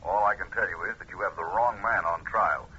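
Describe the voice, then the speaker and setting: An actor playing a suave, self-assured American private detective with urbane, assertive delivery in a 1950s radio drama. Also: male voice, sits low, brisk, sharp tone. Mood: urgent, insistent. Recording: muffled old radio, faint noise.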